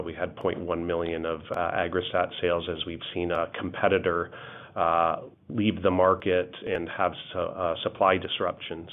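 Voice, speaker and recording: Man, even delivery, company executive, explanatory answers, narrow tinny phone line. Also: low voice, gravelly. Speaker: company finance executive on an earnings call. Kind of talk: explanatory answers on an investor call.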